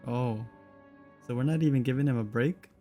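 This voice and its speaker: A young man talks informally as a game streamer, in a medium-pitched voice. The commentary is casual and slangy, with faint music behind it. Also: moderate pace, warm tone.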